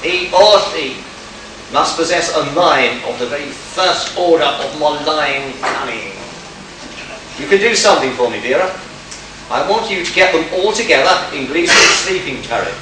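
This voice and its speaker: Guy doing a shrewd stern radio detective, declaiming, a bit high, echoey as anything. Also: expressive, moderate pace, lightly acted. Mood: grave, deliberate. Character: stern, shrewd male detective accusing murder suspects. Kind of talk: amateur radio play acting. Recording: echoey, distant live recording with noise.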